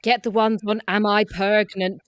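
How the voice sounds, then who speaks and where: A woman's voice: medium pitch, level tone, a little slow, slight background noise. A woman performing improvised comedy dialogue as one of the players.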